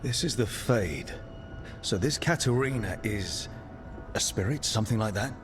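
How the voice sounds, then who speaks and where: An actor playing a loyal, resolute video game hero, speaking in an earnest, reassuring manner. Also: male voice, lowish voice, animated, unhurried, over music. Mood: calm, firm. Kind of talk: video game character acting.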